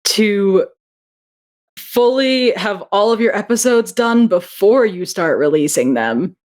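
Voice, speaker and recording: A woman's voice: mid-range voice, animated, slow pace, a little mellow. Chatty woman guest on a podcast. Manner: chatty, many fillers and restarts. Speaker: audio drama writer and producer, guest. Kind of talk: casual interview chat.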